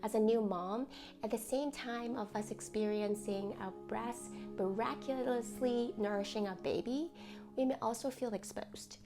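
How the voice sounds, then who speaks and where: A woman leading a guided meditation in a gentle, deliberate, reassuring manner, reading as a host from a script. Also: a little high. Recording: music under the voice. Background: music.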